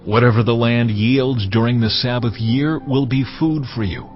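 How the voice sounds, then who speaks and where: A man playing God in a dramatized audio Bible, giving laws in a solemn, measured, commanding manner. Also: medium pitch, steady tone, close to an everyday voice. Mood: solemn, instructing. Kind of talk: dramatized scripture reading. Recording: dull lo-fi audio, music underneath.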